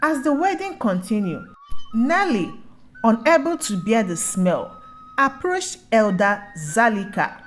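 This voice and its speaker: A woman's voice: a little high, very animated, measured pace, soft background music. A woman narrating a scripted folktale as a storyteller.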